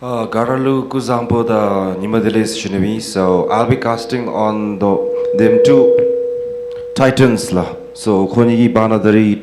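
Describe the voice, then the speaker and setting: A man commentating a live fighting-game match, laid-back and mixing two languages, breaking into excited shouts at big moves. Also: lowish voice, heavy background noise.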